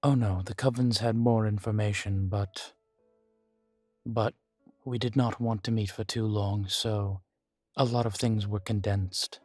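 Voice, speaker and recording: An ancient vampire voiced by a man speaks slowly in a fairly low voice, grave and guarded. His delivery is level and deliberate, weary with long experience, pausing for weight. It is an intimate audio roleplay, recorded dry with music underneath. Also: steady tone, a little mellow, no room sound. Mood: grave, measured. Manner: measured, deliberate, with dramatic pauses. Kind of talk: scripted audio roleplay addressed to the listener.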